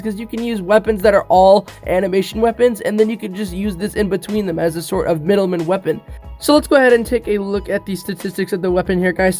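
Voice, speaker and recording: Brief: young male gaming youtuber, high voice, rapid delivery, slightly sick and rough, chatty with fillers, faint music underneath. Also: a little mellow. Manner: chatty, many fillers, keeps saying "guys". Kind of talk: casual game commentary.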